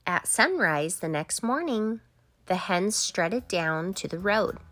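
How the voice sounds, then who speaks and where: A woman reading a children's storybook aloud as an expressive, deliberate narrator. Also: medium pitch, no echo, soft background music. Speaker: children's book reader.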